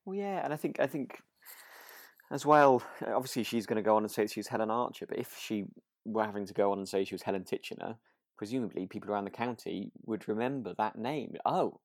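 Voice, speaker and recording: A man's voice: lowish voice, a little fast, no echo, studio quality. A man co-hosting a casual podcast, speaking hesitantly and repeating words as he thinks. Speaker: podcast co-host. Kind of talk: casual chat about a radio drama.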